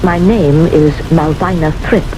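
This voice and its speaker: A woman's voice: deep voice, a little slow, over music. An actress playing a timid older woman living alone in an old-time radio drama, hesitant, polite and easily flustered. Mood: nervous, flustered. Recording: noisy, hissy old radio recording.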